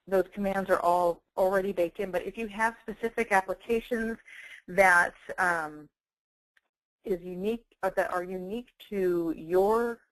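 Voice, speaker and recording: A woman giving a webinar software demonstration, enunciating clearly as a presenter. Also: fairly low pitch, no echo, rough recording. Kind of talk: webinar product demonstration with dictation. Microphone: close handheld speech mic.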